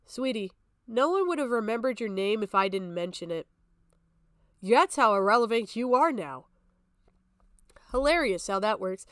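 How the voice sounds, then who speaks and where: Combative woman streamer with a clear, higher voice. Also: very animated, normal speed, long pauses, dry recording, clean studio sound. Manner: combative, sarcastic, heavy swearing. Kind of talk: live reaction commentary.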